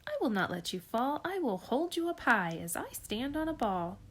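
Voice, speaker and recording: A woman's voice: a little high, very animated, moderate pace, breathy edge, pitched above the performer's own voice. A woman voicing a mischievous male cat who shows off his tricks, boastful and coaxing.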